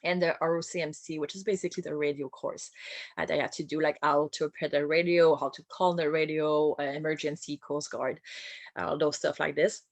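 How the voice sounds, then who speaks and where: A woman's voice: deep voice, steady tone, dry recording. Woman with a strong French accent. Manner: friendly, laughs lightly between phrases, many filler pauses. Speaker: boat captain and whale-watching skipper. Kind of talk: informal career presentation.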